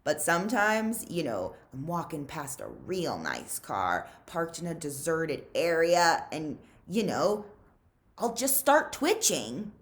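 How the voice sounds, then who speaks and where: A woman's voice: deep voice, big pitch swings, slow, heavily acted. Woman reading as a fidgety, chatty teenage boy. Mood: sheepish boasting. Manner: casual, hesitant, colloquial "you know".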